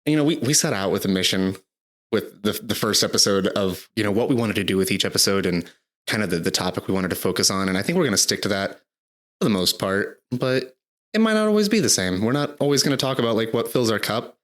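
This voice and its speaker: A man in his thirties with a low voice chats casually as a podcast co-host. He rambles with plenty of fillers and restarts, and his throat still sounds a little rough. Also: lively intonation, quick pace, a bit bright. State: slightly hoarse, recovering from illness. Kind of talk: casual chat.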